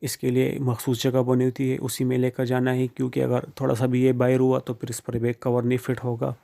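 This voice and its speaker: A man's voice: medium pitch, completely flat delivery, a little fast, creaky, mellow. A man giving plain, informal step-by-step how-to instructions, speaking directly to the viewer. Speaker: phone repair tutorial presenter.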